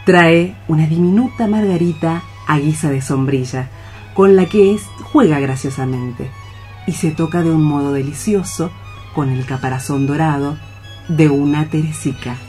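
A woman's voice: low-pitched, moderate pace, mellow, faint music behind, close to an everyday voice. A woman narrating a poetic fable for radio theatre in an ornate, descriptive storytelling way.

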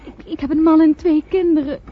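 An actress playing a flirtatious married woman in a radio play, expressive and coaxing. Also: high-pitched, fairly quick, lightly acted. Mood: anxious pleading. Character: flirtatious married woman fleeing London, pleading then seductive. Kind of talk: Dutch radio play acting. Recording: dull, noisy old radio play recording.

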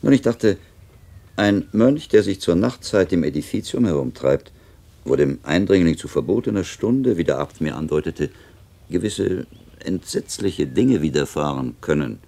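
An actor playing a learned, thoughtful monk and master in a radio drama, deliberate, questioning and reflective. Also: male voice, deep voice, expressive, vocal fry, dark tone, full character voice. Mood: probing. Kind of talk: German radio drama acting.

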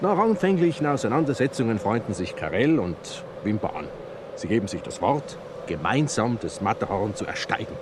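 A man's voice: on the low side, sing-song, fairly quick, background noise. An actor playing a proud male mountaineer and filmmaker, narrating in a theatrical radio drama style. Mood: dramatic. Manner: theatrical, storytelling. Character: proud male mountaineer-filmmaker presenting his own film.

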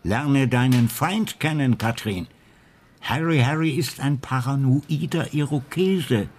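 An actor in a radio crime drama playing a wry detective husband who muses in a deliberate, playful manner. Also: male voice, mid-range voice, darkish tone. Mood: musing, earnest.

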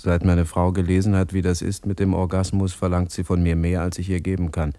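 An actor playing a plainspoken man who delivers deadpan monologues in a radio play. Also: deep voice, a little fast, a little hiss or hum. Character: plainspoken man telling deadpan anecdotes and grievances.

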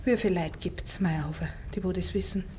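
An actress playing an earnest, determined young country woman with a Bavarian accent in a 1950s radio play, speaking in a measured, insistent way. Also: on the low side, dark tone, lightly acted. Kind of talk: 1950s German dialect radio play acting. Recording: 1950s radio recording with faint hiss.

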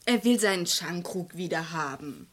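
Husky, well-bred young lady. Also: fairly low pitch, expressive, moderate pace. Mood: polite, doubtful. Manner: questioning, politely pointed. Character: direct, well-bred niece, a polite tea-pouring hostess. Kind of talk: old German radio crime drama acting.

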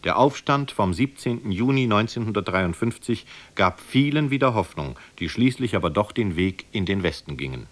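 Low-voiced man narrating a radio documentary. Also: lively intonation, normal speed, hardly any pauses.

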